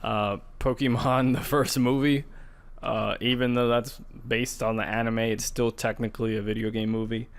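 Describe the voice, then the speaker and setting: A man's voice: medium pitch, flat delivery, moderate pace. A man co-hosting a podcast, talking in a casual, informal way like an enthusiastic gamer. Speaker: podcast co-host. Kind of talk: casual chat about video games and movies.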